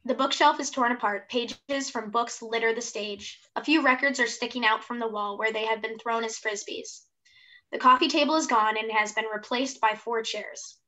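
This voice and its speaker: A woman's voice: on the high side, normal speed, pushed and bright, rough recording. A woman in her twenties reading scripted stage directions for a play over a video call. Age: 20s. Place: room at home, indoors.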